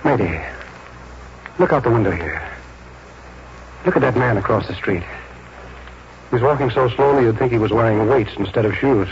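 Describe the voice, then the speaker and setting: An actor playing a stubborn, uneasy painter husband, distracted and hesitant, in a 1940s radio drama. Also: male voice, mid-range voice, lively intonation, normal speed, long pauses, natural voice. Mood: musing. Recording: muffled, noisy 1940s radio recording.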